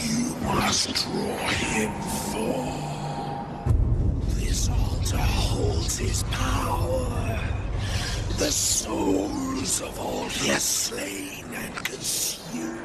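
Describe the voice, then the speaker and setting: A man voicing a theatrical, menacing undead arena master who proclaims with breathy rasping force in an animated fantasy. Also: extremely high pitch, full character voice. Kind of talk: animated fantasy drama acting. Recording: very loud music over the voice.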